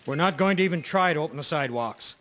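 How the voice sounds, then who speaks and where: A man's voice: a little high, animated, quick pace, background noise, natural voice. A man playing a blunt, unbudging municipal garage worker in a historical radio drama. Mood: blunt, resigned.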